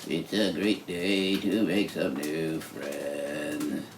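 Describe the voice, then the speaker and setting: A man's voice: a bass voice, level tone, extremely slow, background noise, heavily acted. A man voicing a sleepy puppet character who drawls wearily. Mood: weary.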